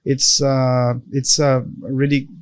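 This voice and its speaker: A Brazilian man speaking English as a second language with a Portuguese accent, a podcast guest talking haltingly with many fillers. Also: mid-range voice, monotone, smooth, no room sound. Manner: halting, many "uh" and "like" fillers, non-native grammar. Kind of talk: casual interview conversation.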